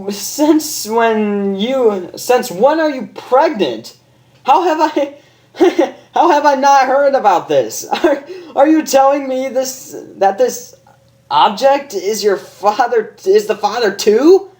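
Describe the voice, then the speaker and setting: A man's voice: extremely high pitch, expressive, slow, darkish tone, pitched above the performer's own voice, full character voice. A man voicing a flustered male unicorn doctor who stammers in disbelief, in a story reading. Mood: baffled. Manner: stuttering, incredulous.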